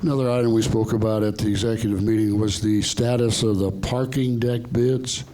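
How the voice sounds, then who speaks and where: A man's voice: on the low side, fairly even intonation, fairly quick, noisy background. A man chairing a condominium board meeting, firm and procedural, often repeating set phrases.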